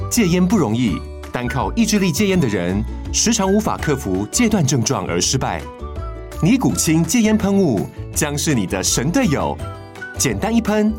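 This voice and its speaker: Lively woman announcer over music. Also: sits low. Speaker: advertisement announcer. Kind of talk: scripted commercial voice-over. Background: background music.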